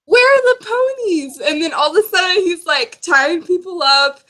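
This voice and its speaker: A woman's voice: very high voice, lively intonation, normal speed, pushed and bright. A young woman chatting casually about books in a giggly, rambling, informal way. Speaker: book discussion co-host. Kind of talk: casual book chat.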